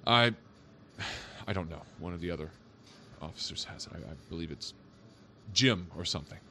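Actor as a low-voiced skeptical cop. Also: male voice, normal speed, bright, forceful tone, a little hiss or hum. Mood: placating. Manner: doubting, questioning, casually hesitant. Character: skeptical male police officer, wry and placating. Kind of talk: audio drama acting, naturalistic.

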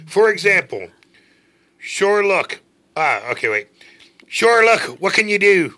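A middle-aged man co-hosting a casual show, reading slang out loud with jokes and a mock Irish accent. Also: high-pitched, sing-song, takes it slowly. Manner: joking, puts on a playful Irish accent for the sample sentences. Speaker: co-host.

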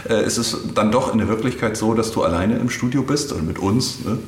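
A man hosting a podcast in casual, halting, conversational German-style small talk. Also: fairly low pitch, roomy sound. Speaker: podcast host.

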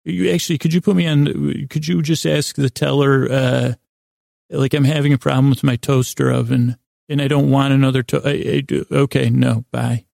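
A man with a heavily creaky, gravelly voice and a soft, dark tone hosts a bedtime podcast. He speaks in a narrow, even range, wandering and halting as he interrupts himself. The delivery is improvised and goofy. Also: medium pitch, no room sound, studio quality. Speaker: podcast host.

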